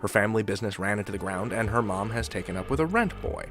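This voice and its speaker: A man's voice: fairly low pitch, animated, quick pace, over music. A man hosting a podcast with scripted narration, wry and speaking directly to the listener. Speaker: podcast host.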